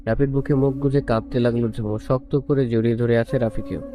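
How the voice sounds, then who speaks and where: A man's voice: mid-range voice, steady tone, brisk. A man reading a scripted romantic story as a narrator. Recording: music bed under the voice. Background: prominent instrumental music.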